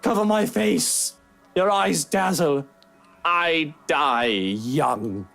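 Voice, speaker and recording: A woman voicing a whiny, hungover young gentleman who theatrically hams up his suffering in a visual novel game. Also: deep voice, expressive, vocal fry, faint music behind, voice pushed down, full character voice. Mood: mock dying. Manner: theatrical wailing, drawn-out.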